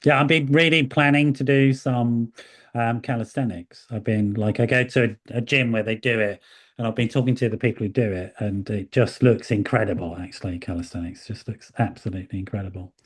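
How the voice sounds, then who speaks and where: A man's voice: mid-range voice, steady tone, a little fast, breathy edge. A man from the hosting an interview, chatty and encouraging. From English Midlands. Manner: chatty and encouraging, many fillers. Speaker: podcast host.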